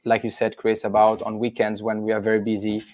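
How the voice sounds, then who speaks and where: A man's voice: fairly low pitch, completely flat delivery, a little fast, darkish tone, no room sound. A man from Switzerland, a business co-founder, sharing rambling anecdotes in a webinar discussion.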